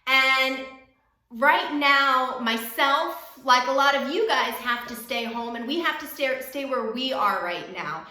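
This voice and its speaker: A woman teacher reading a children's storybook aloud in an expressive, encouraging way, speaking directly to young listeners. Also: high voice, slow, echoey room.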